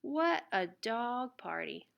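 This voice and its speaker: A woman reading a children's picture book aloud in an expressive, deliberate way. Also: a little high, gravelly, muffled recording. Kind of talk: read-aloud children's storybook narration.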